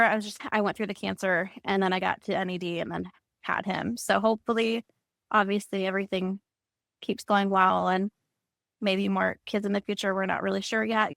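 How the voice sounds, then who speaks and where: Female, 30s, mid-pitched with noticeable fry, dry recording, conversational panel testimony. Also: a bit bright, dry recording. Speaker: panelist, cancer patient and mother. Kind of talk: personal testimony in a panel discussion.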